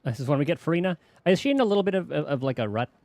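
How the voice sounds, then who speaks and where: A woman's voice: sits low, expressive, rapid-fire, noisy background. A woman giving casual commentary as she plays a game. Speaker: game streamer. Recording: game music behind the voice.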